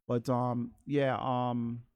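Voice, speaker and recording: A man's voice: mid-range voice, flat delivery, drawn-out words, darkish tone, dry recording. Hesitant, candid male singer guest. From Saint Thomas. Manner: candid, hesitant with many fillers. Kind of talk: casual interview chat. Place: radio studio.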